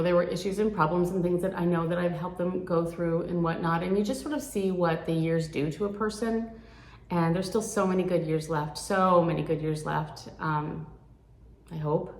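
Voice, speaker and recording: A woman of sixty talking candidly and earnestly to her viewers, reflecting at a rambling, thoughtful pace. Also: fairly low pitch. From United States. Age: 60s. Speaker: vlogger. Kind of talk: candid reflective chat to camera. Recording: echoey room.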